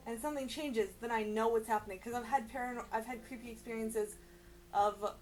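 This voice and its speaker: A young woman co-hosting a casual chat, reacting to stories in an animated, exclamatory, informal way. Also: fairly high pitch, a little fast, slight background noise, poor audio. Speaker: podcast co-host.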